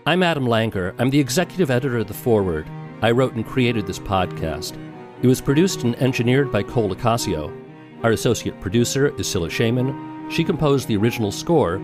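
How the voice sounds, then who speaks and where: A man's voice: medium pitch, lively intonation, brisk, music in the background. A man hosting and narrating a documentary podcast from a script.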